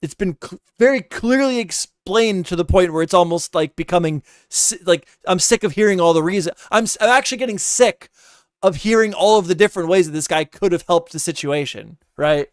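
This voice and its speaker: Ranting man with a smooth, dry voice. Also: high voice, brisk, no room sound. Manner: ranting, exasperated, repeats himself. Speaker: reaction streamer. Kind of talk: heated casual commentary.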